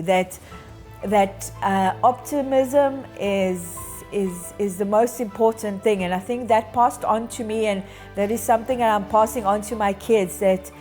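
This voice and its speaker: A woman in her fifties giving a reflective, halting and earnest personal account in a documentary interview. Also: mid-range voice, a little mellow. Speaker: interviewee, bereaved daughter. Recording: music underneath. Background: music.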